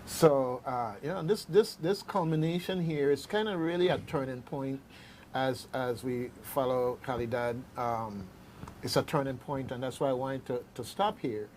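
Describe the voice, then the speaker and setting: A man hosting a radio discussion, conversational and halting, often repeating phrases as he goes. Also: mid-range voice, a little mellow, slight background noise. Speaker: radio host. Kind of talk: unscripted discussion and commentary. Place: radio studio. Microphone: studio microphone.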